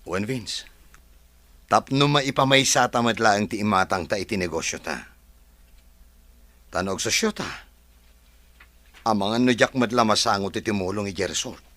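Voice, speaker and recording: A man voicing a calm, decisive male farm owner with an Ilocano accent, formal in manner. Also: on the low side, normal speed, long pauses, no room sound, natural voice. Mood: measured.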